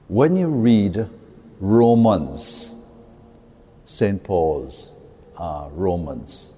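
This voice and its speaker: A man speaks slowly in a low, mellow voice, addressing a church congregation. He talks earnestly and haltingly, repeating words as he gathers his thoughts. His voice rings out with the long reverb of a large cathedral. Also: a little hiss or hum. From Jamaica. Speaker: priest. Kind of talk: spoken talk to a church audience.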